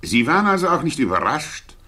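An actor playing a sceptical hearing board member who puts formal, challenging questions, in 1960s German radio drama style. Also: male voice, a little high, sing-song, fairly quick, sharp tone, breathy edge, exaggerated voice.

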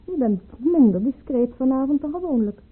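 An actress playing a proud, bitter aristocratic mistress in a radio play, with cutting, theatrical delivery. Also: female voice, high-pitched, expressive, moderate pace, breathy edge. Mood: bitter, accusing. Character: proud, bitter, sharp-tongued aristocratic mistress, a widowed baroness. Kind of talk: Dutch radio play acting. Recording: muffled, phone-like old radio play recording.

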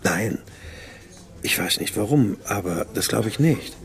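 An actor playing a troubled, weary writer in a radio drama, speaking in a sober, measured, introspective way. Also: male voice, lowish voice, animated. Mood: puzzled. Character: troubled male writer recounting his daughter's disappearance, brooding, weary. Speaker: narrator and lead character. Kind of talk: radio drama, introspective first-person narration and dialogue.

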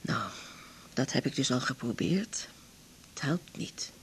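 An actress playing an earnest, troubled woman patient in a radio drama, with a husky, creaky voice. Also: very deep voice, expressive, a little slow, in a whisper, exaggerated voice. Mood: earnest, plaintive.

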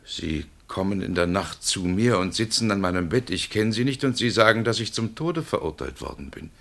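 An actor playing a frightened, indignant man woken at night who protests his innocence with a halting, trembling delivery, in 1960s German radio drama style. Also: lowish voice, lively intonation, vocal fry, fairly bright tone. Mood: fearful, suspicious.

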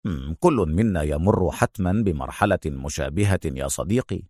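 A man voicing a stern, stubborn military officer hero in a story, speaking in a firm, measured, wry way. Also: low voice, animated, no echo, clean studio sound, pitched below the performer's own voice. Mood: calm, wry.

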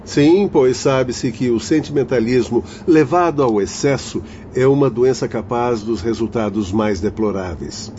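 A man's voice: mid-range voice, unhurried, few pauses, mellow, close to an everyday voice. An actor playing a learned, solemn fatherly doctor and spirit guide in a radio drama, speaking formally and didactically. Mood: solemn, instructive. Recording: dull lo-fi audio with faint noise.

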